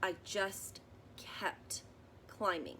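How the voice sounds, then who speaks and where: A woman reading a children's picture book aloud expressively, pausing to explain things as if to young children. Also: medium pitch, big pitch swings, sharp tone, slight background noise. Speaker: storybook reader.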